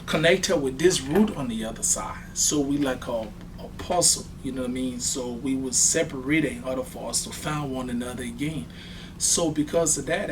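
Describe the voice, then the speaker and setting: A man with a Liberian accent and a medium-pitched voice speaks off the cuff in an interview. He is reflective and halting, often padding his phrases with "you know". The microphone is some way from him, and there is clear noise behind his voice. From Liberia, partly raised in Ghana. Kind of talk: unscripted personal storytelling in an interview. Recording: distant microphone, very noisy background ambience.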